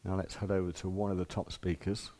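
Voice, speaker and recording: A man's voice: sits low, level tone, fast talker, dark tone. A man giving an informal hands-on demonstration as a shop presenter, explaining step by step in plain, casual words.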